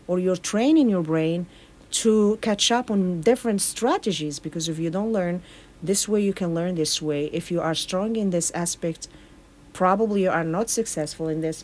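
Earnest woman, slightly low voice. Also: moderate pace, a little mellow. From Morocco. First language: Arabic. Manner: earnest, explaining with repeated phrases. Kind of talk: casual radio chat.

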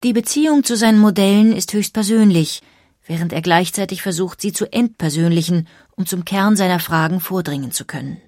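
Low-voiced woman narrating, dry close sound. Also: a little fast, darkish tone, dry recording. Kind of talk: scripted documentary narration.

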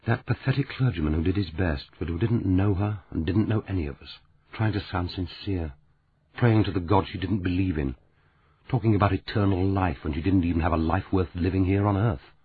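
A man voicing a grieving, bitter young woman of twenty-nine, speaking softly and quietly. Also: sits low, fairly quick, slightly rough. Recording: tinny phone-like sound.

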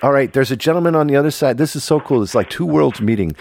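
A man hosting a casual podcast chat, talking in an excitable, jokey way. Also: medium pitch, quick pace, vocal fry, a little hiss or hum. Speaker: podcast host.

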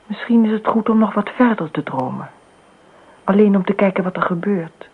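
A woman's voice: mid-range voice, animated, moderate pace, slightly rough. Dreamy, unsettled woman, thin radio sound. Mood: dreamy, musing. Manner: murmurs asides to herself, often near whispering. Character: dreamy married woman, eerily detached murderess, increasingly panicked. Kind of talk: radio play acting. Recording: muffled phone-like old radio recording.

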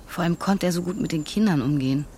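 Woman as a subdued, grieving girlfriend, low voice. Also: fast, warm tone, slight background noise, lightly acted. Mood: wistful. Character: grieving woman remembering her lost soldier boyfriend. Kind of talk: naturalistic radio drama acting.

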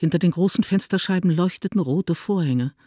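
A man's voice: high voice, a little fast, warm tone, no room sound, clean studio sound. A man narrating a literary radio drama in a scripted style.

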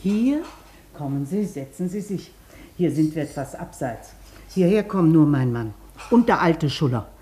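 An actress in a German radio play playing a bossy, talkative long-term patient, blunt and colloquial. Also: female voice, low-pitched, lively intonation, moderate pace, mellow. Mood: chatty, knowing. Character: bossy, chatty long-term woman patient showing newcomer around.

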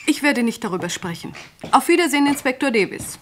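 An earnest, emotional woman, high-voiced. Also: expressive, fast, a bit bright, slight background noise. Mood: guarded, earnest. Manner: earnest, dramatic, pleading. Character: earnest, emotional young woman, insurance employee. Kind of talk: German radio crime drama acting.

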